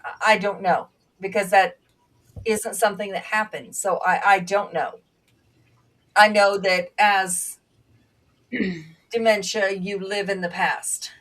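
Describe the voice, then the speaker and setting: A woman telling stories in a casual conversation, reflective, with halting restarts, as a guest and former nursing aide. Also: medium pitch, fairly even intonation, fairly bright tone, distant mic. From Bulgaria.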